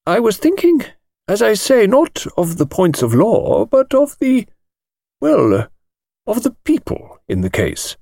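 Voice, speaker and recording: Elderly British gentleman lawyer: thin, high little voice, precise, musing, storybook mystery. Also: wildly swooping pitch, creaky, no room sound, clean studio sound, exaggerated voice. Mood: thoughtful, precise. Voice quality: thin, small voice. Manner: precise, hesitant, deliberate.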